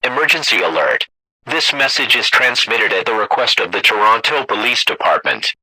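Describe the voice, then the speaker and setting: A man's voice: on the low side, sing-song, normal speed, pushed and bright, low-quality recording. A man reading scripted emergency broadcast announcements in a formal, impersonal manner.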